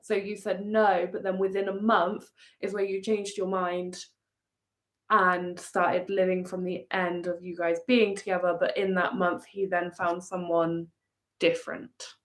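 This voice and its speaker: A woman's voice: on the low side, flat delivery, unhurried, fairly bright tone. A woman co-hosting a live Q&A, talking through a viewer's story in a tentative, hedging way. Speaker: co-host guest. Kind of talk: live stream Q&A.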